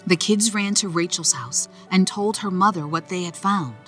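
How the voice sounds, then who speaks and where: A woman narrator reading a children's story in a gentle, expressive storytelling manner. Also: fairly low pitch, moderate pace, soft background music.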